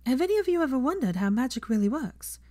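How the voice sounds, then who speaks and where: Woman playing a young teacher, pitched up and projecting. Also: very animated, quick pace, dark tone, no room sound, studio quality. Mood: brisk, instructive. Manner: projected, instructive, questioning. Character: young woman teacher, brisk, earnest, encouraging classroom lecturer.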